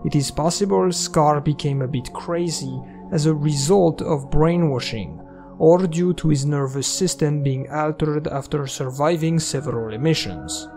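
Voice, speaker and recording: Higher-voiced man narrating over music. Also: a little mellow. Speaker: video essay narrator. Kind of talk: scripted breakdown commentary. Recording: music under the voice. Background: video game soundtrack music.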